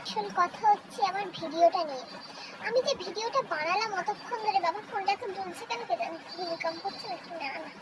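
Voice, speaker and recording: A woman chatting casually to viewers as a vlogger, her voice run through a high-pitched voice-changer filter. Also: a little fast, very noisy.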